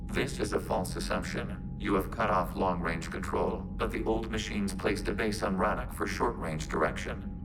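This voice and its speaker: A man voicing a calm, formal machine intelligence in a video game, with clipped, precise, emotionless statements and a synthetic tone. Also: low voice, normal speed, few pauses, very noisy. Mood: flat, logical.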